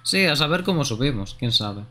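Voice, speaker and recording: A man's voice: mid-range voice, lively intonation, moderate pace, pushed and bright, slight background noise. A man hosting a gaming livestream with casual, conversational chat. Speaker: streamer, host.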